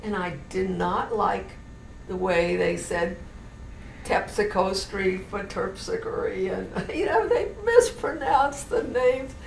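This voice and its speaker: An elderly woman from New Orleans with a general American accent, slowly reminiscing in an interview with many restarts. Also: mid-range voice, expressive, gravelly. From New Orleans, USA. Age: older. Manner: slow, meandering, with many restarts. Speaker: interviewee, great-grandmother. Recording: noisy, roomy home recording from a distance.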